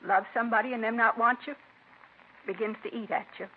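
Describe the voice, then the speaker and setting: A woman's voice: fairly high pitch, fairly even intonation, a little fast, lightly acted. A woman playing a bitter, lovelorn murder suspect in a 1950s radio crime drama. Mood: anguished, bitter. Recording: tinny, hissy vintage radio recording.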